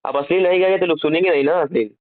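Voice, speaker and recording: A man's voice: a little high, very fast. A man, a journalist interviewing by phone, challenging and scolding, often repeating his questions. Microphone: telephone. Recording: tinny radio-like phone-call recording.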